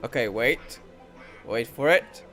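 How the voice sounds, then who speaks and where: A man with a mid-pitched voice gives casual gameplay commentary. He thinks aloud in short, offhand reactions, with faint music behind him. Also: expressive, takes it slowly, a bit bright. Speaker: gameplay streamer.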